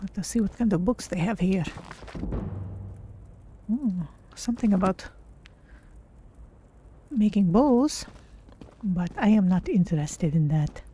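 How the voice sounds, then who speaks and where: Woman voicing a mellow, curious cat-folk adventurer. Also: mid-range voice, expressive, slow pace, long pauses, slight background noise. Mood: thoughtful. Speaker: streamer voicing own game character. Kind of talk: in-character game playthrough commentary.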